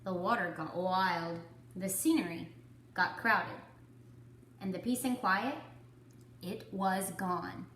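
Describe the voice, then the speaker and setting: A woman reading a children's story to kindergarteners, with deliberate, dramatic phrasing. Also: on the low side, a bit bright, roomy sound, a little hiss or hum. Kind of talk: expressive children's story narration.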